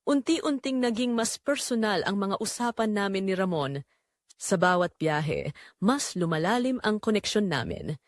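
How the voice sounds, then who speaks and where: Casting: female narrator as lonely wealthy wife with secret longing. Measured, confessional storytelling, lively pitch movement, dry recording. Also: no echo, natural voice. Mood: yearning, secretive. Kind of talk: dramatic first-person story narration.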